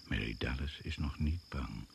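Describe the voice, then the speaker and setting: A man narrating a radio drama gravely and ominously, in deliberate, incantatory short phrases, on an old recording. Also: rumbling low, noisy background. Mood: ominous, measured. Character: grave, ominous male narrator of a jungle tale.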